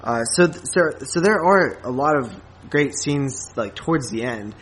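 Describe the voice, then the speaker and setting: A man podcast host giving a casual film review in informal, slangy talk, often halting and circling back over his phrases. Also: medium pitch, expressive, fairly bright tone, slight background noise, low-quality recording.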